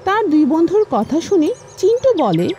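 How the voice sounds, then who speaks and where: A woman's voice: very high-pitched, very animated, moderate pace, warm tone, soft background music. A woman reading scripted narration for children's cartoon fables, as a storyteller.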